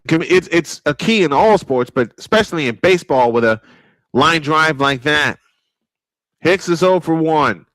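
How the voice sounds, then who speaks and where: A man calling live baseball play-by-play, excitable and prone to repeating his points. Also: on the high side, no room sound, poor audio. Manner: excitable, repeats points, quick calls of action. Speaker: play-by-play announcer. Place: home sports studio.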